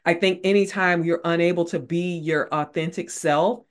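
A woman's voice: on the low side, fairly even intonation, moderate pace. A woman author speaking as a guest in a casual interview, earnest and repeating phrases for emphasis.

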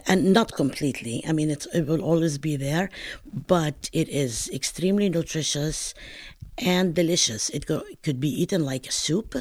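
A woman's voice: low voice, darkish tone, no room sound. An older woman from Palestine with a northern Palestinian accent, chatting in an oral history interview as a home cook, telling stories in a digressive, talkative way.

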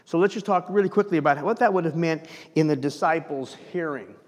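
Animated pastor preaching in a reverberant hall. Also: male voice, on the high side, brisk. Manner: animated preaching with rhetorical questions. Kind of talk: sermon. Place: church sanctuary.

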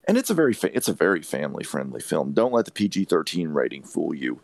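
A man's voice: very deep voice, sing-song, fast talker, gravelly, no echo, studio quality. A man co-hosting a podcast, giving casual film opinions emphatically and repeating phrases for stress. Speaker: podcast co-host. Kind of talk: casual film review chat.

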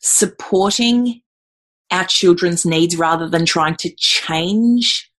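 A woman's voice: on the low side, takes it slowly, fairly bright tone. A middle-aged woman answering interview questions in a thoughtful way, with many ums and repeated words. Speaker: guest.

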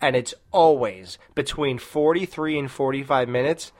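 A man's voice: mid-range voice, normal speed, a little mellow. A man co-hosting a horror film podcast, chatting casually and rambling, often breaking into laughter. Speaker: podcast co-host. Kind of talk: casual chat.

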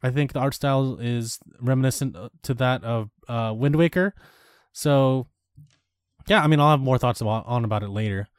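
Man, mid-pitched, relaxed podcast co-host, filler-heavy chat, dry close mic. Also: normal speed, smooth, no echo. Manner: easygoing, filler-heavy, enthusiastic. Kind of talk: casual chat.

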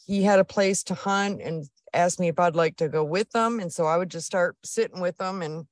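Middle-aged podcast guest, woman from northern Michigan, lowish voice, dry close sound, chatty storytelling. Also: moderate pace, no echo. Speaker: guest, outdoor adventure business owner. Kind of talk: casual interview.

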